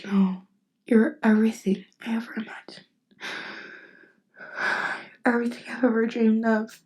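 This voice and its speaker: A woman acting a tender, lovestruck best friend in an intimate ASMR roleplay, speaking in a halting, earnest, vulnerable way. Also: on the high side, level tone, darkish tone, lightly acted. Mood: tearful, awed. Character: tender, lovestruck female best friend confessing her feelings. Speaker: voice actress.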